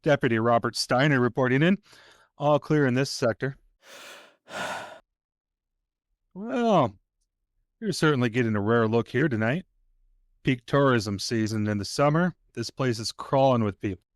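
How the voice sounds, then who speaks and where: A folksy small-town deputy, played by a male actor in an audio drama, with a mid-pitched voice. He talks in a chatty, colloquial, storytelling way, recorded close and dry. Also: expressive, a little slow, pauses often, dry recording, natural voice. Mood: folksy, easygoing. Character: chatty folksy rural sheriff's deputy, local man. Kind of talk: naturalistic audio drama dialogue.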